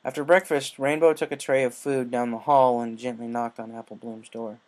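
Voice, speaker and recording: A man's voice: medium pitch, level tone, moderate pace, darkish tone. A man reading a scripted story aloud as narrator, in audiobook style.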